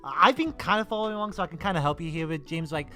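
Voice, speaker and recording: Rambling higher-voiced man co-hosting, music behind. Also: very fast, sharp tone. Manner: rambling, stop-start delivery. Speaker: podcast co-host. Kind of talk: casual chat about video game films.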